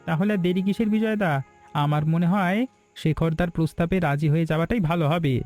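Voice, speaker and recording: A man's voice: a little high, a little fast, a little mellow, faint music behind, lightly acted. A man playing a loyal, eager hotel assistant in a cartoon, deferential and earnest. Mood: agreeable. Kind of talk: Bengali moral-story cartoon dialogue.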